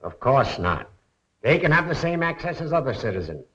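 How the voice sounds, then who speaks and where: A man's voice: medium pitch, big pitch swings, normal speed, a bit bright. A man playing a stern, vindictive police commissioner with a blustering, sarcastic manner, in 1930s film acting. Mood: stern, threatening. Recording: muffled 1930s film soundtrack.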